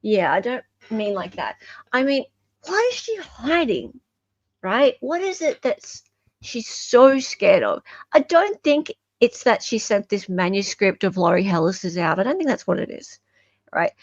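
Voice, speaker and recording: An Australian woman hosting a casual live commentary, chatting with dramatic pauses, emphasis and rhetorical questions. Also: mid-range voice, very animated, dry recording, lo-fi sound. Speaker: livestream host commentator.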